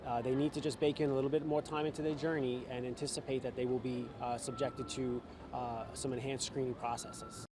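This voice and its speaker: A man giving a public statement as an official spokesperson, pausing as he explains. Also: medium pitch, flat delivery. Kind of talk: measured public statement with pauses. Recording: clear background ambient noise.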